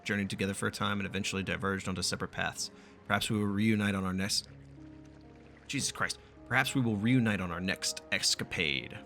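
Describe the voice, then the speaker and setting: A man's voice: on the low side, pushed and bright. A man streaming a game, reading its text aloud in a casual, profane way and stumbling now and then. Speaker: gaming streamer doing a playthrough.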